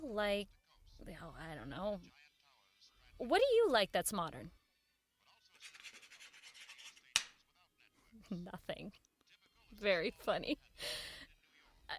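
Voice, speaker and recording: A woman's voice: medium pitch, big pitch swings, takes it slowly, long pauses, slight background noise. An actress playing an earnest, persistent woman who runs a radio show, in audio drama dialogue and first-person narration. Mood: tentative coaxing.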